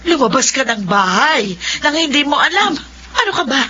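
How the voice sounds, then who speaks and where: Fretful scolding mom, bright sharp voice, radio drama, faint noise. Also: female voice, high-pitched, big pitch swings, normal speed, exaggerated voice. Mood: worried, scolding. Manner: fretful questioning. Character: anxious, scolding mother. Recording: slightly noisy, dull audio.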